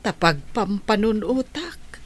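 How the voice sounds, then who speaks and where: An actress playing a reluctant but softening elderly grandmother in a radio drama, with a husky voice and a Filipino accent. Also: medium pitch, animated, slow. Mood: hesitant. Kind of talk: Ilocano radio drama acting.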